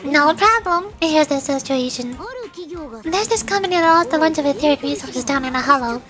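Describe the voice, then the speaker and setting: A woman's voice: high voice, moderate pace, lightly acted. A woman voicing a perky, scheming young cat girl mercenary, chatty and cajoling, reading game dialogue. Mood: persuasive, upbeat. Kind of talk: video game dialogue read aloud. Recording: loud music behind the voice. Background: game music.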